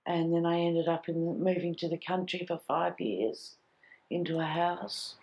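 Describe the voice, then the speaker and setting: Australian woman, lowish and mellow voice, slow, plain and simple sentences. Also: steady tone, low-quality recording. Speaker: speaker in a community film. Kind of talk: simple personal account.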